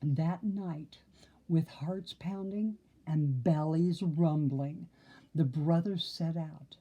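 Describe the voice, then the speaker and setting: A woman reading a children's story aloud in an expressive narrating style. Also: low voice, takes it slowly, dull audio. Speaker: storybook reader.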